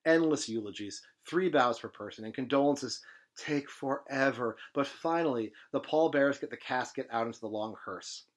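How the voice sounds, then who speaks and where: A man with a mid-range voice reads a novel aloud. His delivery is expressive and dramatic, with emphatic phrasing. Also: medium pitch. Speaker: presenter reading a novel aloud. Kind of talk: expressive literary reading.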